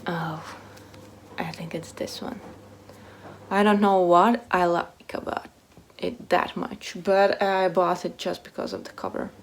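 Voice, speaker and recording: A woman with a fairly low voice chats casually as a book vlogger. She speaks slowly and haltingly, often letting phrases trail off softly, with some background noise in the recording. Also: animated, creaky. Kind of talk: casual chat to camera, answering a book tag.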